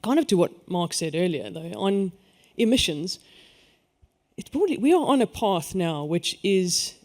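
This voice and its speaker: A man's voice: sits high, big pitch swings, a little slow. South African man, emphatic panel speaker. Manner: emphatic, lifts his voice on key points then trails off. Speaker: energy industry panelist. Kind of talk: conference panel discussion.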